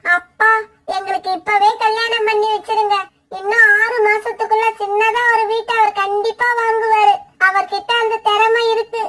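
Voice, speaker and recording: A woman's voice: very high voice, flat delivery, normal speed, a bit bright. A woman voicing a young female cartoon bird, an insistent, bossy and wheedling daughter and wife. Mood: eager, pleading. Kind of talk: children's cartoon voice acting.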